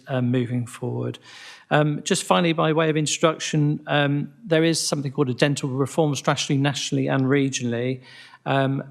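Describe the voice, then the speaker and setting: A man giving a formal presentation to a council committee, speaking in a measured, halting way with many ums and restarts. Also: mid-range voice, creaky. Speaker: NHS primary care commissioning lead presenting to a council committee.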